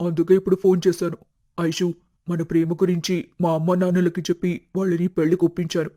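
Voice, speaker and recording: A man voicing a smooth persuasive young suitor. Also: high voice, little pitch movement, a little fast, dark tone. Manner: explaining, persuasive. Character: smooth-talking young ex-boyfriend.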